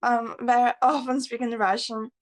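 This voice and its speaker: A woman whose first language is Buryat, speaking slow, simple English with fillers as a guest on a video call. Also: a little high, flat delivery. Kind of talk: casual video-call chat.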